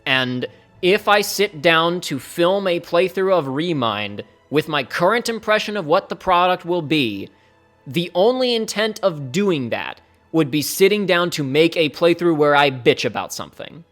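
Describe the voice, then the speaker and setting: A young man with a fairly high-pitched voice, talking to his viewers in a rambling, candid way during a live stream. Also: very animated, unhurried, a bit bright, faint music behind. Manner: rambling, candid, speeds up and trails off. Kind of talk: casual live-stream talk to chat.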